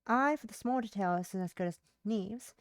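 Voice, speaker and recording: A woman's voice: mid-range voice, normal speed, no echo, studio quality. A woman livestreamer reading a visual novel's narration aloud, stumbling over words and rereading phrases, with brief casual asides.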